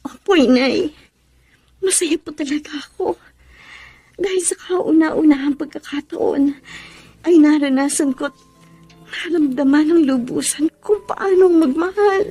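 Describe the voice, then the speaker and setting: High-voiced, lovestruck young woman. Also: unhurried, pauses often, mellow, soft background music. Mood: earnest, emotional. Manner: tender, earnest. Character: trusting, lovestruck young woman, devoted daughter. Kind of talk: Tagalog radio drama acting.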